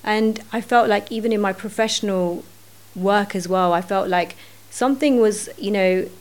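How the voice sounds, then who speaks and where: A middle-aged woman, a podcast guest, talking earnestly and thinking aloud, often pausing with fillers. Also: medium pitch, darkish tone. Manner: earnest, thinking aloud with many "you know"s. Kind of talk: reflective podcast conversation.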